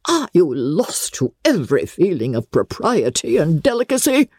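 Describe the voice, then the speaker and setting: An imperious older aristocratic lady in a dramatic audiobook. Her pitch swoops widely in a low, husky voice as she lays down the law with pompous indignation. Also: big pitch swings, moderate pace, darkish tone, dry recording, clean studio sound, full character voice. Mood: indignant. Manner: pompous, declamatory, emphatic, formal. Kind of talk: dramatic audiobook reading of classic literature.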